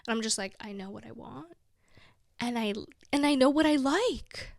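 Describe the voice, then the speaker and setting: A woman's voice: fairly high pitch, moderate pace, vocal fry, no echo, clean studio sound. A woman in her twenties chatting casually as a vlogger, giggling often and filling her talk with "like.". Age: 20s. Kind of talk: casual chat to camera.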